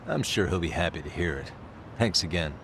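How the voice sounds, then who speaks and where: An actor playing a cool, confident detective in a video game cutscene, wry, blunt and challenging. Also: male voice, sits low, brisk, fairly bright tone, slight background noise. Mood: easygoing. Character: cool, confident male private detective, dogged and sardonic.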